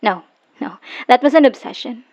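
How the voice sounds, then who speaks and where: Dull lo-fi audio, actress playing a hurt bitter woman finally leaving her abusive partner, halting n emotional, asmr roleplay style. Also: a little high, animated, darkish tone, close to an everyday voice. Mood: weary, bitter. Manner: emotional, halting, sighing, dropping into whispers. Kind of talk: dramatic ASMR audio roleplay monologue, voicemail confession. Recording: dull lo-fi voice recording.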